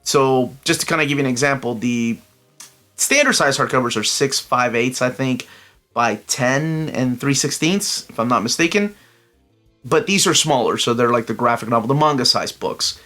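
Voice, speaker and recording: A man's voice: medium pitch, moderate pace, bright, forceful tone, faint music behind. A middle-aged man from Peru hosting a casual solo video, chatty and enthusiastic, wandering into asides full of fillers. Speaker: comic book collector channel host. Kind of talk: casual solo review vlog.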